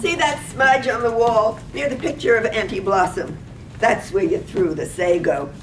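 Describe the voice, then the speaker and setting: A woman playing a high, teasing, scolding village wife. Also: moderate pace, natural voice. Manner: theatrical, exclamatory storytelling. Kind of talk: stage reading of a radio play. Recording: echoey, distant microphone, faint rumbling noise.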